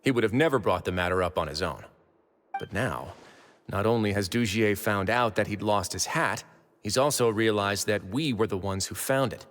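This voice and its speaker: A man plays a shrewd, unruffled prison official in a video game, with a low voice and measured, dry courtesy. There is long reverb on the sound and music behind the speech. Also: a bit bright, natural voice. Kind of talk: video game character acting.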